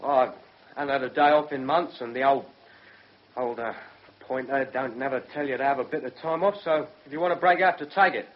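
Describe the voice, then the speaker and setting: An actor playing a surly, defiant career criminal on trial in a radio drama, speaking bluntly with a husky voice and a working-class English accent. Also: male voice, mid-range voice, fairly quick, lightly acted. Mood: guarded, insistent. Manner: blunt, colloquial. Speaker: defendant in a courtroom drama. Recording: muffled, dull radio drama recording.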